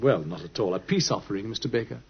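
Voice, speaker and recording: An actor playing a shrewd, courteous British detective with an urbane, theatrical manner in an old radio drama. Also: male voice, fairly low pitch, animated, fast talker, a little hiss or hum. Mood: genial. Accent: British English. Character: shrewd, courteous, self-assured male detective. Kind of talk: 1950s radio drama acting. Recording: muffled old radio recording.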